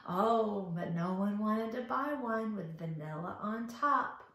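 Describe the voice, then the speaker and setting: Very animated woman telling stories to young children. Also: medium pitch, takes it slowly. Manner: animated, sing-song, exaggerated expression, asks and praises. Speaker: children's library storytime host. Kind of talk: interactive storytelling for young children. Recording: very echoey room.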